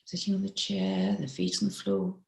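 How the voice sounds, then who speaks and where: A woman mindfulness teacher leading a slow guided meditation in a gentle, unhurried, instructive way. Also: medium pitch, gravelly. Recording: roomy, echoey sound.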